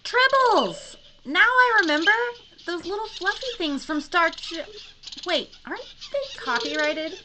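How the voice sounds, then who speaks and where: High, excitable space heroine, played by a woman. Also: unhurried, exaggerated voice, poor audio. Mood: surprised. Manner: excitable, blunt, casual. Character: perky, trigger-happy young female space adventurer. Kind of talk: audio sci-fi comedy acting. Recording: loud background noise with clicks.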